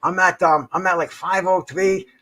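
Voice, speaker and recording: An older man, in his sixties or beyond, hosting a casual live stream in slangy, profane, halting speech with repeated words. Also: sits high, fairly even intonation, bright, forceful tone. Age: 60s or older. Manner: slangy, profane, says "man" and "bro" often. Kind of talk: rambling casual chat to livestream viewers. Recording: jazz music playing behind the voice. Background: jazz concert recording.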